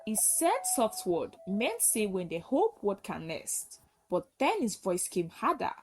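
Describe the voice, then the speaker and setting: A woman narrating a folktale as a storyteller, with earnest, expressive and dramatic delivery. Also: mid-range voice, unhurried, a little hiss or hum.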